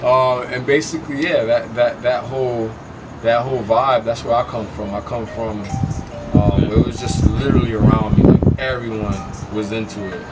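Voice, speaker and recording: A man's voice: medium pitch, fairly even intonation, moderate pace, loud music behind the voice. Easygoing middle-aged man, distant mic. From Hartford, Connecticut, US. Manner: easygoing, reminiscing, many fillers and restarts. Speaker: interview guest. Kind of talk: casual interview.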